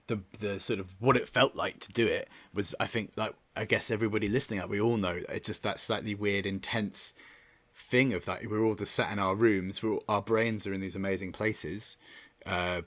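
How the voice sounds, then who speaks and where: Male, lowish pitch, very dry recording. Reflective interviewee who circles and restarts as he searches for words. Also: steady tone, fairly bright tone, no room sound. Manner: hesitant, halting, repeats words as he searches. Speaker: theatre and audio drama director.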